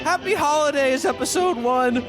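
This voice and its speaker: A man plays a melodramatic everyman podcaster in a comic radio play. He pitches his voice very high and stammers theatrically. Music runs behind him. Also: normal speed. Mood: overjoyed. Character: distraught, melodramatic podcaster shown a world without him. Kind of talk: comedic radio play acting.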